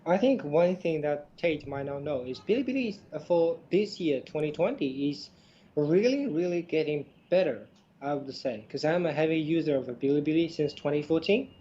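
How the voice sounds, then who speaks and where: A man's voice: on the high side, animated, normal speed, mellow, muffled recording. A man whose first language is Chinese co-hosts a podcast, speaking English hesitantly with many fillers. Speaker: podcast co-host. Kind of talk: casual podcast chat.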